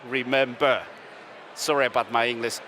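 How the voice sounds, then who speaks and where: Male stream host, Finnish accent, upbeat and playful, mid-pitched. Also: little pitch movement, normal speed, pushed and bright, a little hiss or hum. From Finland. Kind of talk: live event stream hosting. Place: desk upstairs at a restaurant venue.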